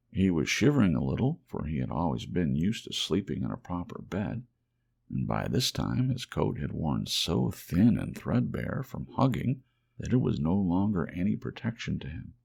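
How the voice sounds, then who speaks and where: A man softly and expressively narrating a children's storybook as an ASMR audiobook. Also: a bass voice, creaky, slightly rough.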